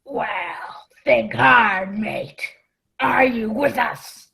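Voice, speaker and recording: A scheming one-legged sailor played by a woman in a radio drama. The pirate speaks slowly and menacingly, with a gravelly creak and a bright, forceful edge. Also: on the high side, full character voice. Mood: menacing, coaxing.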